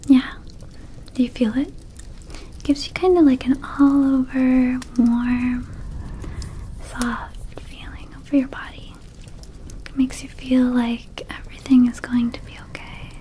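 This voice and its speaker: A woman's voice: sits high, fairly even intonation, unhurried, pauses often, mellow, a little hiss or hum. A woman voicing a small, friendly, scatterbrained female vampire shopkeeper in an ASMR roleplay, whispering in a hesitant, casual, confiding way. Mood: soothing, enthusiastic. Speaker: ASMR performer.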